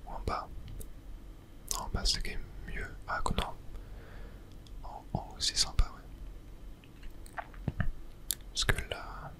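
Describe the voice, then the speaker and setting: A gamer speaks entirely in a soft, breathy whisper. The delivery is ASMR gameplay commentary, casual and muttered, thinking aloud in slangy little asides. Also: slow pace, long pauses. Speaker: video game streamer narrating own play.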